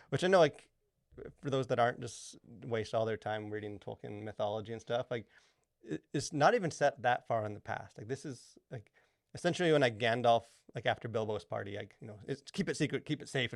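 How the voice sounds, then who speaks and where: A man's voice: medium pitch, brisk, vocal fry, dry recording, clean studio sound. A man co-hosting a podcast, talking casually in a rambling way, full of fillers and restarts. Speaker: podcast co-host. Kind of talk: casual chat.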